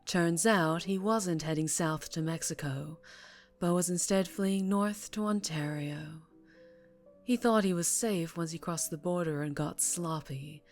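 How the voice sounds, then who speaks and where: A woman acts out a female crime boss for an ASMR roleplay, her voice low and very measured over background music. She is dangerous and charming, speaking intimately close, sometimes slipping toward a whisper. Her affection is condescending, with cold authority underneath. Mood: smug, contemptuous. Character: dangerously charming, ruthless yet tender female mafia boss. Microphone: close-up microphone.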